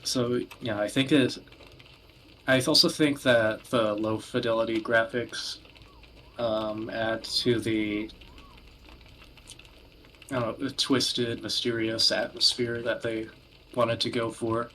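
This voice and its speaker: Guy hosting a casual podcast, talks slow and kinda halting, bit of noise in the background. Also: mid-range voice, little pitch movement, fairly bright tone, low-quality recording. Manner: hesitant, halting delivery with frequent pauses. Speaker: podcast host. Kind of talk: casual discussion of video games.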